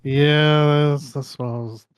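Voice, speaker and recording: Soft-spoken young man, dry close sound. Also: on the high side, fairly even intonation, slow, clear, resonant tone, no room sound. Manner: soft-spoken, casual, swears casually. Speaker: podcast co-host. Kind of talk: casual chat.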